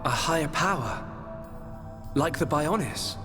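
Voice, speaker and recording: Young defiant video game hero, earnest, kinda high voice, reverby with music. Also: male voice, animated, measured pace. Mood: puzzled. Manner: earnest, searching. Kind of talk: dramatic video game cutscene acting. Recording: long reverb, music behind.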